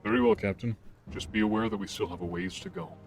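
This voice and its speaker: A man's voice: fairly low pitch, wildly swooping pitch, fast, gravelly, soft background music, exaggerated voice. A man playing a towering, lordly immortal warrior commander in a fantasy audio drama, formal and patient. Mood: patient, questioning.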